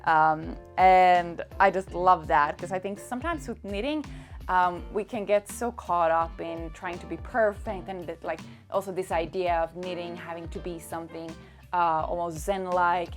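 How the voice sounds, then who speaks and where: A woman's voice: fairly low pitch, normal speed, soft background music. Chatty Swedish-speaking woman. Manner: chatty, enthusiastic, many fillers and laughs. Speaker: knitting vlogger. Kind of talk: casual chat.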